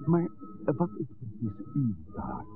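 An actor playing a courteous, earnest village priest in a 1960s radio drama, speaking in formal, slightly flowery sentences. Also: male voice, mid-range voice, huge pitch swings, slow, over loud music. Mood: admiring, courteous. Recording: muffled old radio recording.

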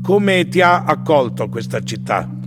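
An actor playing a deliberate interviewer, putting scripted questions in a theatrical radio style. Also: male voice, a little high, big pitch swings. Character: male interviewer questioning tourists, slow and deliberate. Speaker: voice-over interviewer. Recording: loud music under the voice.

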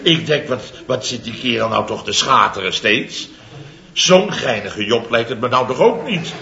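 Loud blustery contractor, fifties, chuckling as he tells it, echoey old lo-fi radio with a laughing crowd. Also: mid-range voice, animated, normal speed, vocal fry. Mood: blustering, amused. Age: 50s. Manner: theatrical storytelling, chuckling through lines. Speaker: contractor boss Biels. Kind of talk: 1960s radio comedy serial. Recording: dull old broadcast recording, studio audience laughter. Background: audience laughter.

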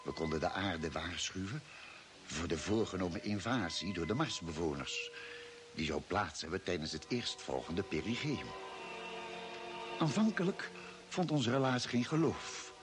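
An actor playing a grave expedition member, narrating a space voyage in a radio play with measured, solemn storytelling. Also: male voice, sits low, animated, music in the background. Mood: grave, reflective. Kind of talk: scripted dramatic narration.

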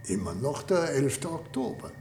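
An actor in a German radio drama playing an eager, apologetic scientist with a fussy, polite, insistent manner. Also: male voice, fairly high pitch, sing-song, moderate pace, creaky, warm tone. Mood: solicitous, curious. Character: eager, apologetic male scientist running an experiment. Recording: roomy echo with faint background noise.

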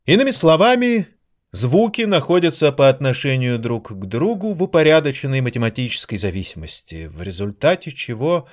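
A cultured maestro of the piano in an audio drama, acted by a man, condescending and passionate. His medium-pitched voice moves in wide, lively swings as he lectures with theatrical irony. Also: brisk, lightly acted. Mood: lecturing, exasperated.

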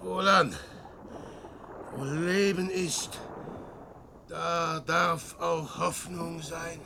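A man's voice: on the high side, fairly even intonation, drawn-out words, vocal fry, noisy background, exaggerated voice. A man voicing a proud, fearful traveler at sea who blusters and breaks into frantic outbursts, in a classic radio drama.

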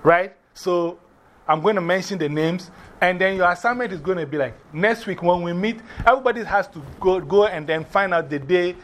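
A man from Ghana with a Ghanaian accent, teaching a class in a lively way, often repeating and spelling out words. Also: high voice, normal speed.

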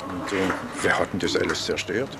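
An actor in a radio drama playing a folksy, eager small-town police inspector. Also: male voice, low voice, steady tone, a little fast, creaky, noisy background. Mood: bashful teasing.

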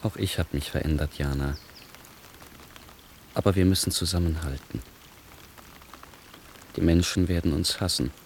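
A man's voice: deep voice, moderate pace, long pauses. An actor playing a grave, tender man in an intimate radio drama. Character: grave, tender male partner urging togetherness. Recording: rain noise behind the voice.

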